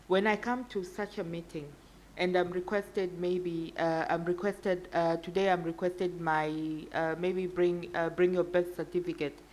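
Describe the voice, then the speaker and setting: A woman's voice: lowish voice, little pitch movement, measured pace, darkish tone, a little hiss or hum, rough recording. A woman speaking as a panelist in a discussion, with frequent restarts and fillers. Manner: hesitant, many restarts and fillers.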